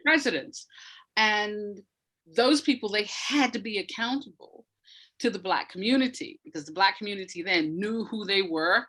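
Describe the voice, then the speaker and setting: A woman talks as a guest in an interview, with a voice of medium pitch. She explains things emphatically, often restating a phrase to press the point. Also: normal speed, fairly bright tone, slightly rough, poor audio. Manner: emphatic, repeats phrases for effect, laughs often. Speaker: interview guest, audio drama maker. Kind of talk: animated explanatory interview talk.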